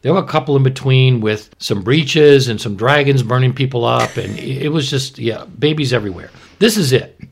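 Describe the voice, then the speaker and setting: Husky guy podcast cohost, mid pitch, rambly casual storytelling. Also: normal speed. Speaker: podcast co-host.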